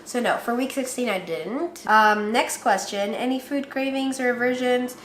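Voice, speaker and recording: A woman's voice: on the high side, normal speed, fairly bright tone, slight background noise. A woman with a Filipino accent chatting casually with her viewers, laughing often and teasing herself. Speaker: pregnancy vlogger. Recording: roomy echo.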